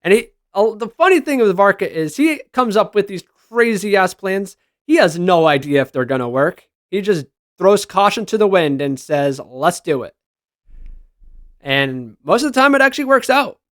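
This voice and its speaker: Excited gamer guy chatting casually, kinda high voice, slangy, really dry close sound. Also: moderate pace, dry recording. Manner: enthusiastic, slangy, exclaiming. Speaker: gaming video creator reacting to screenshots. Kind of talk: casual reaction commentary.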